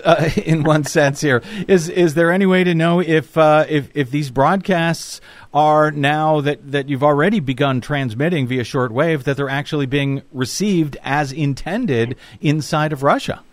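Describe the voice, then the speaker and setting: A man's voice: on the high side, unhurried, dry recording. Man hosting a radio interview, dry sound. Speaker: radio show host. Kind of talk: conversational interview.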